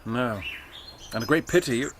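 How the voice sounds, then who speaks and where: A man's voice: mid-range voice, lively intonation, moderate pace, slight background noise, natural voice. An actor playing an anxious, well-spoken young college man in a radio drama, earnest and eager in manner. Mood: friendly, eager. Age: young adult.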